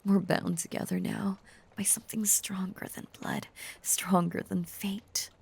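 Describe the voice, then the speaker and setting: A woman voicing an obsessive, possessive female werewolf lover in an intimate audio roleplay, breathy and often whispering, with a halting, intense, confiding delivery that is tender yet menacing. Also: medium pitch, flat delivery, darkish tone, heavily acted. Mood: devoted, possessive.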